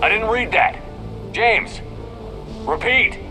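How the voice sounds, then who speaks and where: A man's voice: sits high, lively intonation, takes it slowly, over loud music. A man playing a determined military commander in the field in a cinematic video game. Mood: tense, determined.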